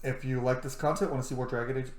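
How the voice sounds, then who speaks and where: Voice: medium pitch, fairly even intonation, fast, darkish tone, roomy sound. A gaming host giving casual, chatty, reactive let's-play commentary. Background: video game music.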